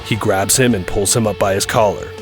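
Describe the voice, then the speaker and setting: A man's voice: on the low side, fairly even intonation, fairly quick. A man reading scripted narration as the narrator of an audio drama. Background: music.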